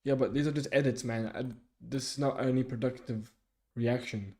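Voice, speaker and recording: Soft-spoken young man, halting casual chat. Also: mid-range voice, steady tone. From Sweden. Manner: halting, many restarts and fillers. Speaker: streamer. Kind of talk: casual live chat reading viewer comments.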